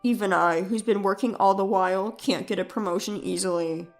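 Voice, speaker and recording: A woman's voice: medium pitch, steady tone, a little fast, gravelly, faint music behind, pitched below the performer's own voice, lightly acted. A woman voicing a defensive cheating husband in a scripted story, pleading and condescending, with emphatic delivery.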